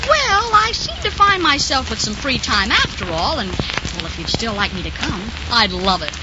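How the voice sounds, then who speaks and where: A woman playing a sweet, excitable teenage girl with a gushing, hesitant manner in 1940s radio acting. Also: on the high side, normal speed, loud music behind the voice. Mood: shy, pleased. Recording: muffled, very noisy vintage radio.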